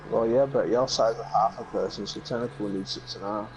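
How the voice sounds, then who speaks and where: Quick-talking man teasing over party chat. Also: lowish voice, steady tone, background noise. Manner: teasing banter. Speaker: friend in voice chat. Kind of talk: casual chat.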